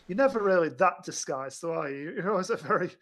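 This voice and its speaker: A man chatting casually as a podcast panelist, halting and stammering as he repeats words to find his phrasing. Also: high voice, lively intonation, a bit bright, slight background noise. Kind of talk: casual film discussion chat.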